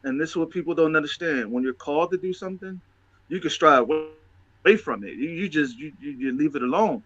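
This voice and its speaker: A man's voice: on the high side, lively intonation, quick pace, fairly bright tone, highs cut off. A man talking casually and motivationally as a show host, emphatic and testifying, repeating phrases for stress.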